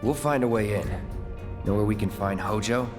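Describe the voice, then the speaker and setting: An actor playing a cool, guarded young mercenary in a video game cutscene, speaking in terse, understated phrases. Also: male voice, fairly low pitch, normal speed, over music, natural voice. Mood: businesslike. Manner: understated, clipped.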